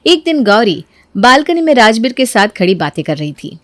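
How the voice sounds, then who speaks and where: A woman's voice: fairly high pitch, sing-song, normal speed, no echo. A woman narrating a scripted family moral story as a storyteller.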